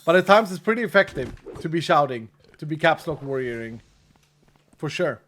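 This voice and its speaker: A man's voice: fairly high pitch, lively intonation, moderate pace, fairly bright tone, a little hiss or hum. A Swedish-born man, Swedish his first language, speaking English with a Swedish accent in a slightly raised voice. He chats loosely with his stream's viewers, laughs at himself and often leaves sentences hanging. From Sweden. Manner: chatty, self-deprecating, laughs often, trails off mid-sentence. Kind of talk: casual chat while gaming, reading chat.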